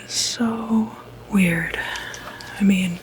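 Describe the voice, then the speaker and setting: A woman whispering breathily in an ASMR medical roleplay, playing a reassuring doctor with a hesitant, gentle bedside manner. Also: medium pitch, steady tone, very slow, slight background noise, low-quality recording. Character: calm, reassuring doctor who treats demonic possession.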